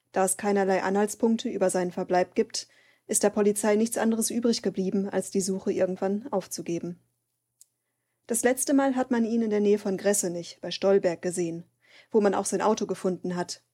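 A woman's voice: medium pitch, dark tone, lightly acted. A woman playing an earnest, searching daughter, narrating in a scripted German audio drama.

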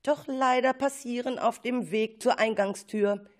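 A woman's voice: on the high side, fairly even intonation, measured pace. A woman narrating a children's story expressively, with playful asides.

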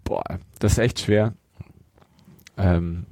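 A man co-hosts a casual podcast. He speaks in a fairly low voice, hesitant and deliberating, with a dry, close sound. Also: steady tone, slow pace, a little mellow, no echo. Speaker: podcast co-host.

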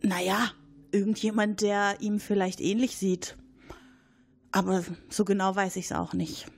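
A woman's voice: mid-range voice, level tone, unhurried, gravelly. A woman playing a cautious, noncommittal military colonel answering questions in an improvised role-play. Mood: guarded. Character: guarded, evasive military colonel under questioning.

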